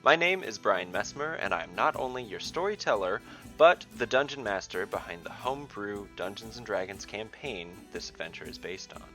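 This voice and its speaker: A man hosting and narrating a scripted fantasy story as its dungeon master, in a dramatic, deliberate way. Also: lowish voice, lively intonation, sharp tone, soft background music.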